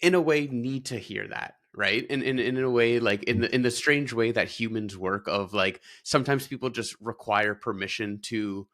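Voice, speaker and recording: A man's voice: mid-range voice, normal speed, hardly any pauses, a bit bright. A man hosting an interview, encouraging his guest with frequent short affirmations. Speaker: podcast host. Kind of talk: casual interview chat.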